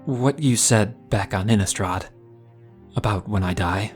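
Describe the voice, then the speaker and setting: A man's voice: on the low side, unhurried, soft background music, lightly acted. A man playing an earnest, tentative young male mage in an audio drama. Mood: tentative, hurt. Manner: tentative, probing.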